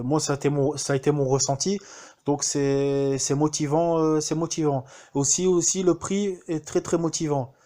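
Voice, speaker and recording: Un homme français qui témoigne de manière spontanée, en hésitant souvent et en reprenant ses phrases. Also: male voice, mid-range voice, a little mellow. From France. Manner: hesitant, many fillers and restarts. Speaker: student giving a testimonial. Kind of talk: casual spoken testimonial.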